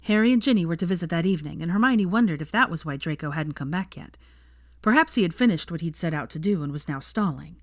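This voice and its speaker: A woman's voice: fairly low pitch, animated, quick pace, no room sound, studio quality. A woman narrating fiction from a script as an audiobook reader.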